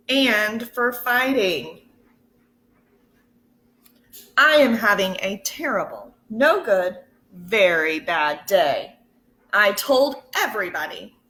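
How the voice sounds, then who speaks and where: A woman teacher reading a picture book aloud to children in an expressive, deliberate way, pausing to put questions to her listeners. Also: mid-range voice, low-quality recording. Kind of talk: storybook read-aloud.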